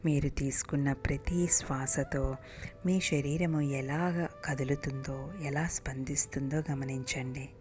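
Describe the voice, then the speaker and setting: Very low-voiced woman guiding meditation in soft breaths. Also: fairly even intonation, unhurried. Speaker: meditation guide. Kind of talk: guided meditation narration. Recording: music bed behind the voice. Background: meditation music.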